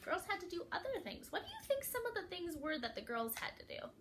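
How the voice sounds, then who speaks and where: A woman's voice: extremely high pitch, sing-song, fast talker, fairly bright tone, slight background noise. A woman reading a picture book aloud to young children, expressively, with dramatic emphasis and questions put to the listeners. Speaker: children's read-aloud storyteller.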